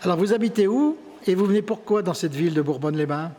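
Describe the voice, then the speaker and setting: Man, high-pitched voice, interviewer, guided exhibition visit, question-led presenting. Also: expressive, fast, dark tone. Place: indoors in an exhibition room at a tourist office.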